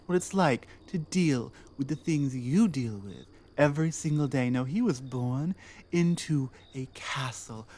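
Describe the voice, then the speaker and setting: A woman playing a proud, battle-hardened orc queen in a scripted ASMR roleplay, speaking deliberately with commanding, pointed rhetorical phrasing. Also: deep voice, darkish tone, full character voice. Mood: scornful.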